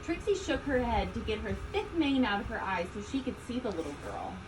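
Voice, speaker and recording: A woman reading a children's story aloud, expressively, with deliberate emphasis on key words. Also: fairly high pitch, sing-song. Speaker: storybook reader. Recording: distant mic, loud background ambient noise.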